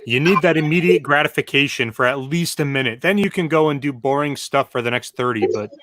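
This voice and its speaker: A man co-hosting a live-streamed chat, talking in a measured, hesitant way with plenty of filler words. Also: medium pitch, a bit bright, dry recording, clean studio sound. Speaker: co-host.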